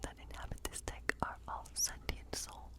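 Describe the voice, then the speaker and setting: Female ASMR performer as a friendly woodland witch: whispered throughout, breathy, whimsical, unhurried and musing. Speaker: ASMR performer playing a witch tarot reader. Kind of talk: whispered ASMR role play.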